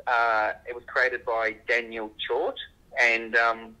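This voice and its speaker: A man heard over a walkie-talkie style link, giving a halting, unscripted explanation in an interview. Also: medium pitch, level tone, bright, forceful tone, rough recording. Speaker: engineer being interviewed.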